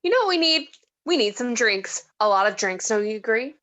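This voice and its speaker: A woman's voice: a little high, animated, a little fast, bright, forceful tone, dull audio. A woman acting a tipsy, disillusioned woman who rambles and giggles in a read-through of a film script. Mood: tipsy, playful. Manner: rambling, slightly slurred, giggly.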